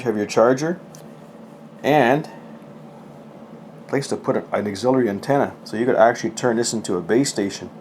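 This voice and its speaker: A man's voice: mid-range voice, a little mellow, a little hiss or hum. A middle-aged man giving a casual, rambling, folksy show-and-tell of his gadgets, with a self-deprecating way of talking. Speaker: hobbyist presenter.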